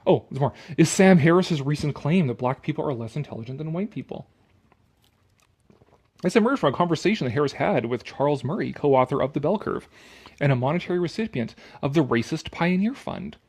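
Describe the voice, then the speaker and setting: A man's voice: fairly high pitch, expressive, brisk, a little mellow, slightly rough. A man reading an article aloud with casual, sarcastic commentary, blunt and dismissive, prone to swearing. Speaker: online commentator.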